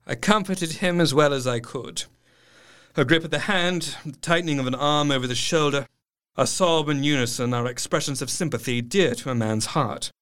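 An actor playing a grieving young doctor, narrating his diary in a measured, literary and solemn way. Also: a little high, a bit bright, clean tone, close to an everyday voice. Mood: sombre, tender. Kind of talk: dramatic first-person audio drama narration. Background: music.

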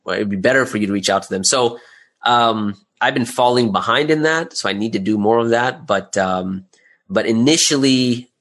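Male, lower-pitched, conversational guest explaining things in a halting, think-aloud way. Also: a bit bright. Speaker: interview guest, audio drama creator and business owner. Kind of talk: casual conversational interview answers.

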